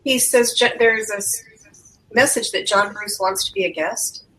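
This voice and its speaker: A woman's voice: fairly high pitch, sing-song, a little slow, sharp tone, poor audio. A woman making casual, friendly small talk as a member of a group on an online call.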